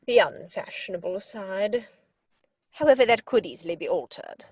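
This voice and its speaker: A woman's voice: mid-range voice, animated, normal speed, darkish tone, no room sound. A woman playing an imperious, snobbish older upper-class lady in a theatrical comedy reading, pompous and disapproving. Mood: haughty, disapproving. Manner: pompous, disapproving, crisply emphatic. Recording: dry home video-call recording.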